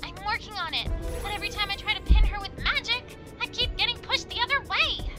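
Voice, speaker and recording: A woman's voice: extremely high pitch, fast, music in the background. A woman playing an exasperated magic-wielding pony in an audio drama, insistent and scolding.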